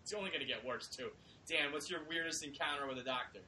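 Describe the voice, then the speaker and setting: A man's voice: fairly high pitch, fast talker, pushed and bright, low-quality recording. A man hosting a podcast, teasing his co-hosts and steering a casual chat. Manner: teasing, wry, leads the talk. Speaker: podcast host.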